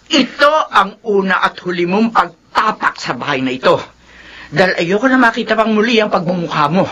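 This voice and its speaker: An actress playing a stern, suspicious middle-aged Filipino mother in a radio drama, with a raspy voice and sharp, emphatic scolding. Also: medium pitch, expressive, normal speed. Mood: furious.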